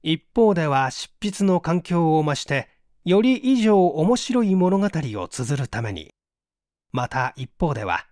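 A man reading literary fiction aloud as a narrator, in a theatrical, deliberate storytelling manner. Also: a little high, big pitch swings, a little mellow, no room sound, studio quality. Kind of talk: dramatic literary audiobook reading.

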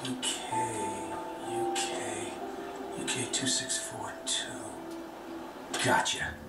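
Echoey wisecracking American adventurer. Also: male voice, mid-range voice, steady tone, very slow. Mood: excited, musing. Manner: wisecracking, muttering to himself. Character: wry young male treasure hunter, glib adventurer. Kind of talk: naturalistic video game acting. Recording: echoey game audio from far off, loud music.

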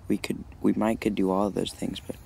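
Low gravelly young male vlogger. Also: brisk. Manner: relaxed, apologetic, rambling. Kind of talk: casual voice-over narration.

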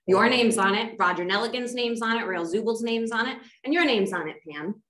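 A woman's voice: fairly high pitch, fairly quick, fairly bright tone, a little hiss or hum. A woman at a town board meeting, upset and pressing board members with pointed questions. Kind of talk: heated public comment. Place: town hall meeting room. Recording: echoey meeting room.

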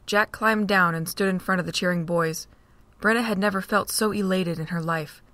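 Woman narrator for amateur audio drama, lowish voice, dry close mic, steady read. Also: normal speed, no echo. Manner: steady, reading aloud.

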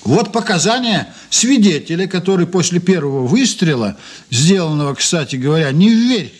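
A lively, higher-pitched male investigator in a radio drama. He probes with firm politeness and dry irony, stressing his points with wide swings of pitch. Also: moderate pace, husky. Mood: confident, ironic. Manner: probing, polite but firm, emphatic. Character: male police investigator, insistent, ironic and authoritative. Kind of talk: radio play acting, interrogation.

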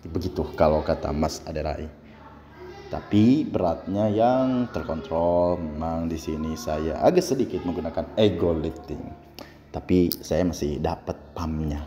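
A man's voice: extremely low pitch, unhurried, warm tone. A young man talking a workout video through in a casual, explanatory way, recorded in an indoor gym by a camera across the room. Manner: chatty, explains training step by step. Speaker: gym vlogger. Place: indoor gym with fluorescent lights. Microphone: camera on the floor across the room. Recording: reverberant gym hall with faint hum.

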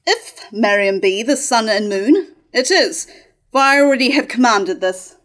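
Woman acting scornful royal schemer, cutting, declamatory, raised pitch, staged verse tragedy. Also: lively intonation, measured pace, fairly bright tone. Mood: curt. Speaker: actor reading Herod's scheming sister in a staged play reading. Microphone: stand microphone at a lectern.

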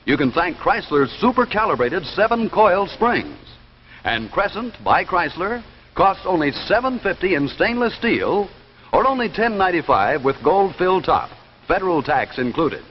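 Lively young 1950s TV pitchman, slightly high. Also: moderate pace, big-room sound, slight background noise. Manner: polished, salesmanlike delivery. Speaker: announcer and commercial pitchman. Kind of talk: scripted 1950s TV announcing and advertising pitch. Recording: dull 1950s television soundtrack with music.

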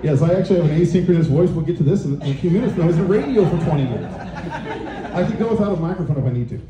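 A middle-aged man giving a conference talk on a handheld microphone in a hotel meeting room, loud and booming, with many fillers and restarts. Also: lively intonation, quick pace, dull audio, a crowd in the background. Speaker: conference presenter. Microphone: handheld microphone through room speakers. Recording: echoey conference room, faint noise.

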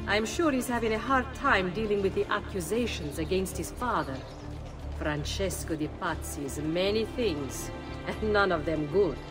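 A woman's voice: medium pitch, normal speed, music in the background, close to an everyday voice. A woman playing a wry, composed middle-aged Italian noblewoman and mother in a video game, with an Italian accent. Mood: serious, reassuring. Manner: composed, knowing. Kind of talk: dramatic video game acting.

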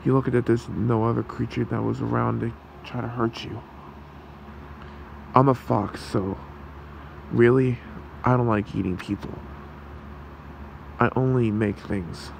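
Man playing a gravelly, gentle fox creature. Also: medium pitch, steady tone, a little hiss or hum. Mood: caring. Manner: soothing, reassuring, halting. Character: gentle, protective male fox creature caring for someone. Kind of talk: ASMR roleplay, soft comforting talk.

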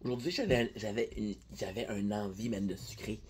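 A man's voice: fairly low pitch, fairly even intonation, normal speed, darkish tone, slightly rough. Rambling young man with a Québécois accent. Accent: Québécois French. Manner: rambling, joking, playful asides to viewers. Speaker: vlogger. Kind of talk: casual candy-tasting vlog. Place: small room indoors at night. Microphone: phone at arm's length.

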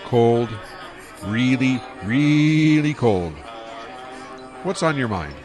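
A man's voice: mid-range voice, lively intonation, very slow, a little mellow, soft background music. An actor playing an easygoing young male starship pilot who talks in a casual, laid-back way in an audio drama.

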